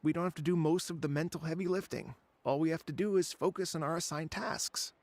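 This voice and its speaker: An actor plays a young male academic in a video game, chatty and unambitious. His voice is fairly high with plenty of fry, recorded very dry. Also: brisk, a little mellow, no room sound, lightly acted. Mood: casual, resigned.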